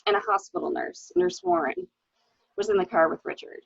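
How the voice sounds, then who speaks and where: A woman's voice: very high-pitched, wildly swooping pitch, brisk, vocal fry, no echo, exaggerated voice. A woman in a dramatic mystery reading, playing an anxious, hesitant widow, on a dull home recording. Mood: earnest, bitter. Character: anxious, hesitant new widow recounting her husband's misdeeds. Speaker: library staff reader.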